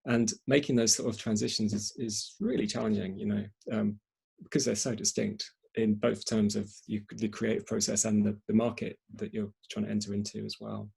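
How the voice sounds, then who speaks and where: A man's voice: fairly low pitch, flat delivery, normal speed, creaky, darkish tone, husky. A man hosting a panel discussion in spontaneous talk, hesitantly repeating and rephrasing as he goes. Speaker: event host and moderator.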